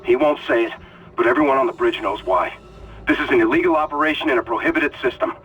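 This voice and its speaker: An actor playing a defiant, desperate ship communications officer recording an indignant log in a video game. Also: male voice, medium pitch, fast, lo-fi sound, slight background noise, lightly acted. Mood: grim, defiant. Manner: intense, indignant.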